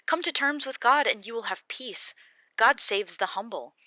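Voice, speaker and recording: A woman reads Zophar, a cold, judgmental man who pronounces his friend wicked. The delivery is flat in feeling and condemning. The voice is bright and sharp, close and dry. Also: fairly low pitch, normal speed, creaky, no room sound, full character voice.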